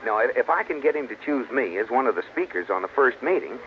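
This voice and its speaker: A man plays an affable husband from a 1940s radio comedy, a little anxious and quick to get exasperated. His mid-pitched voice swings up and down between hesitations. The sound is muffled with faint hiss. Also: animated, lightly acted. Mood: friendly, hesitant. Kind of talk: 1940s radio sitcom acting. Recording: muffled, hissy old radio broadcast.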